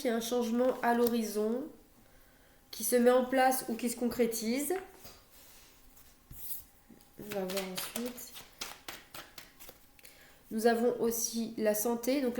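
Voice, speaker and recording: A woman's voice: on the high side, dark tone, slight background noise. Young woman with a cold, chatty tarot reader at home, echoey computer-mic sound. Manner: chatty, many fillers and restarts. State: has a cold, coughing. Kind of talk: monthly astrology card reading. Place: home, near a stairwell.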